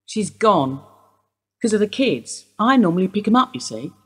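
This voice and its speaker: An actress playing an older woman being questioned by police, guarded and wry in a husky voice. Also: medium pitch, big pitch swings, moderate pace, fairly bright tone. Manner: guarded, dry, wistful. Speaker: police interview suspect. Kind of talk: radio play acting.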